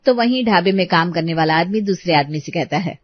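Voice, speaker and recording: A woman's voice: fairly low pitch, animated, a little fast, no echo, muffled recording. A woman storyteller reading a scripted moral tale as narration.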